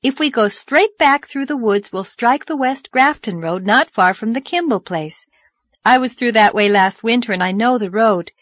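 A woman's voice: fairly high pitch, moderate pace, no room sound, studio quality, lightly acted. Woman reading as a practical, optimistic young schoolteacher. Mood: brisk, confident.

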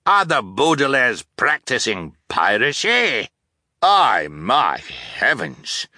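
A man performs a blustering submarine captain from a storybook. The voice leaps around in pitch with a hard, forceful edge, throwing out urgent, repeated exclamations in frantic bursts. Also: very animated, slow, no room sound, clean studio sound, full character voice. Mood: astonished, blustering. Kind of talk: audiobook character voice.

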